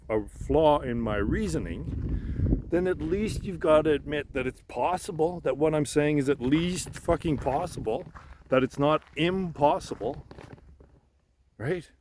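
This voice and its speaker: A man in a halting, rambling unscripted monologue, frustrated and insistent, swearing and repeating himself. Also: mid-range voice. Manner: frustrated, insistent, profanity-laced; halting, repeats words and restarts. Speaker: amateur theorist making videos. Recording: noticeable background noise.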